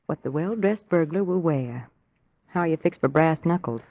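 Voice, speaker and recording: Sleuth's witty lady companion: arch, curious, lively intonation, dull old radio sound. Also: low voice, moderate pace, no room sound. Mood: playful. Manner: arch, quipping, poised. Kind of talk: old-time radio drama acting.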